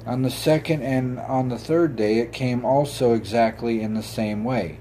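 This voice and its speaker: Mellow male host with background noise. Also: mid-range voice. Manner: halting, stumbles over words. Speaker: host and story reader. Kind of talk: informal show hosting and fairy tale read-aloud.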